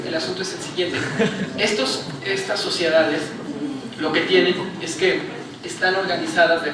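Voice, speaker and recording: A somewhat high-voiced man with a Mexican accent explains an academic idea to an audience, often tagging his phrases with a questioning check. He is recorded from far off in a very echoey lecture hall, with steady noise behind him. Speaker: book presentation panelist. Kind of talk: academic talk answering a question.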